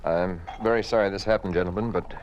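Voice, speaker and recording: An actor in a 1950s radio drama playing an earnest, conciliatory professor with a polite, expressive way of speaking. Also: male voice, deep voice, fast talker, lightly acted. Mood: smooth reassurance. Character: earnest, conciliatory male professor and family man. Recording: dull, faintly noisy vintage radio recording.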